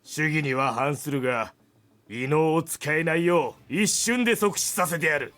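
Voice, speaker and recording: An actor playing a sadistic, bloodthirsty male villain in a visual novel, taunting and menacing in rough speech. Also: a little high, fast, a bit bright, soft background music, lightly acted. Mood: cold, threatening. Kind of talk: Japanese visual novel voice acting.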